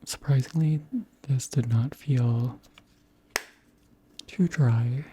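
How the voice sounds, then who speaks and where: A beauty creator around thirty speaking softly in a whispery, breathy voice, gently chatting through products in an unhurried ASMR style. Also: medium pitch, slight background noise. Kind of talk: soft-spoken ASMR chat and product show-and-tell.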